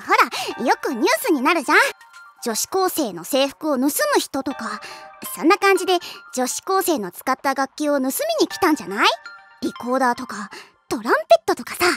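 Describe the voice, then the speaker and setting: A woman voicing a cheerful, easygoing high school girl in an anime, with a playful, breezy manner. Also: sing-song, brisk, soft background music. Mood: insistent, playful. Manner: playful, breezy, exclaims brightly. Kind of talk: Japanese anime voice acting.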